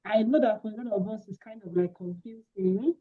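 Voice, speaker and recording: A woman's voice: mid-range voice, a little fast. Nigerian woman hosting over a tinny line. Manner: lively, chatty, jokes with the audience. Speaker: webinar host. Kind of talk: hosting an online session. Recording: tinny phone-like sound.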